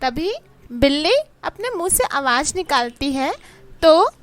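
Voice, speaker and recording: Slow, lively woman narrating a children's story. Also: high voice, dry recording.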